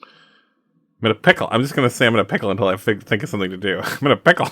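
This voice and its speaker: Man, tabletop player, lively swooping pitch, bright tone, dry close sound, casual improvised talk. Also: racing, no room sound. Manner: animated, hesitant thinking aloud. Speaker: player in a tabletop roleplaying game.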